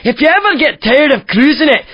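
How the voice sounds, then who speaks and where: Very high-pitched, excitable game announcer. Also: male voice, a little fast, no echo. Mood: jaunty. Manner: exuberant, theatrical, cheeky. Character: excitable cheeky male racing announcer, teasing and gushing. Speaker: video game race announcer. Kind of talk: animated game commentary. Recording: slightly dull, dry game audio.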